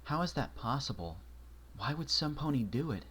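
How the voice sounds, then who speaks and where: A man reading a story aloud, voicing an anxious, bookish young pony mare who talks earnestly and often in a hushed voice. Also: medium pitch, very animated, moderate pace, slightly rough, voice pushed up.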